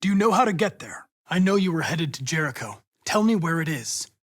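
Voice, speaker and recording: A man's voice: on the high side, very animated, no room sound, clean studio sound. A man voicing a young android police investigator in a video game, with an American accent and a precise, formal, mission-driven manner. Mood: detached, resolute. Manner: precise, clipped, formal, measured, insistent when pressed. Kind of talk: video game voice acting, isolated dramatic lines.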